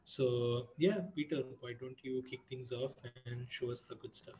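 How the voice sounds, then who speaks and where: A man's voice: medium pitch, flat delivery, moderate pace, a little mellow. A man hosting an online community call in a casual, informal and somewhat hesitant, rambling way. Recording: online call with clear background noise.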